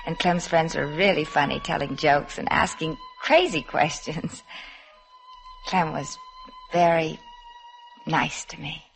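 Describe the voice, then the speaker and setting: An actress playing a shy, wistful young woman recalling a summer in a halting, artless way in a 1950s radio drama. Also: deep voice, creaky, poor audio. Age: young adult. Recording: 1950s radio broadcast, soft music behind.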